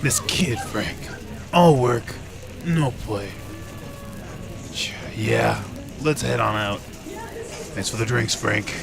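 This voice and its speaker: Slow, gravelly speakeasy regular. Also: male voice, lowish voice, lively intonation, music in the background, exaggerated voice. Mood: teasing. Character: jovial, teasing 1920s tough-guy bar patron. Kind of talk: old-time radio acting.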